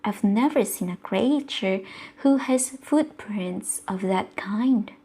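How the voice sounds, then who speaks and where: A woman voicing a shocked boar who lost her berries, read slowly and softly. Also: medium pitch, expressive, breathy edge, full character voice. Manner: slow, soft read-aloud delivery.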